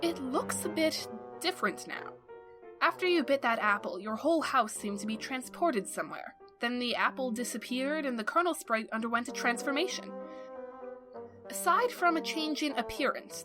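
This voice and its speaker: A woman serves as narrator for an audio drama. Her voice is a little higher than most. She reads scripted storytelling with light music behind her. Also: expressive, normal speed.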